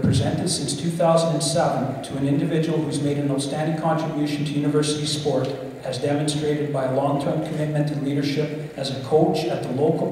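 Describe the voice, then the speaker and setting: A man's voice: on the high side, darkish tone, slight background noise. Middle aged guy reading an award citation at a podium, trips up a bit, big echoey theatre, mic kinda far off. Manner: reads a citation, with some stumbles and self-corrections. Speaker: athletic director giving induction remarks. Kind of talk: formal speech read at a lectern. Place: large theatre stage. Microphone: lectern mic on a stand. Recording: echoey hall, mic heard from a distance.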